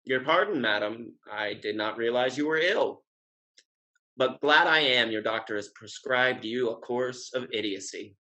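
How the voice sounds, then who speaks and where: An actor playing a witty, lovesick gentleman suitor in a Restoration stage comedy, speaking in a formal, wry, sententious way. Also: mid-range voice, measured pace, low-quality recording. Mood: sardonic.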